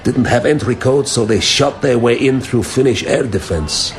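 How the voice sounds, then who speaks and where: An actor playing a world-weary, sardonic underworld informant in a radio drama. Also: male voice, medium pitch, normal speed, lightly acted. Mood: sardonic, knowing. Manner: sardonic, knowing.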